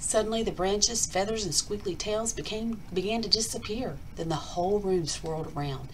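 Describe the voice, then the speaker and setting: A woman hosting storytime, reading aloud to children in an expressive, emphatic picture-book style. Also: medium pitch, normal speed, slight background noise, low-quality recording. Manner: emphatic, addressing children directly. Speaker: storytime host, school reader.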